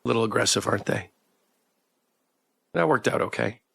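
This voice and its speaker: A man's voice: on the low side, moderate pace, creaky, fairly bright tone, husky, dry recording, studio quality. A man streaming a visual novel, reading the narration aloud and adding casual commentary of his own. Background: background music.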